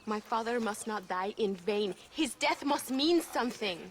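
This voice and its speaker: A high-voiced young revolutionary with a Mexican accent. She is earnest, formal and full of grief and conviction, in a dramatic game cutscene with faint noise behind her. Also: lively intonation, a little slow. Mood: impassioned. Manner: earnest, formal, emphatic phrasing.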